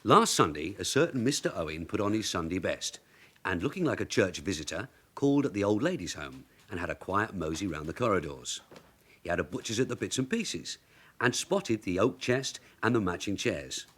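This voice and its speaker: Low-voiced wry London detective. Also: male voice, big pitch swings, normal speed, fairly bright tone, slightly rough, heavily acted. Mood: wry, knowing. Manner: dry, knowing, informal. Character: shrewd, wry veteran London police detective sergeant. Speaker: detective sergeant. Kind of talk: British radio drama acting.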